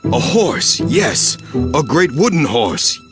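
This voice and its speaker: A man's voice: a little high, big pitch swings, takes it slowly, soft background music. A man playing a shrewd, assured ancient Greek war strategist in a dramatic game cutscene. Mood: scheming.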